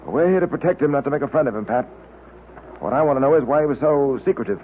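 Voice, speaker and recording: Male actor as blunt detective, very quick and authoritative, narrow old-radio sound. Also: fairly high pitch, close to an everyday voice. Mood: pondering, businesslike. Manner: clipped, authoritative, no-nonsense. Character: brisk, blunt, level-headed male police detective. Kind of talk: old-time radio drama acting. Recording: tinny old radio recording, light noise.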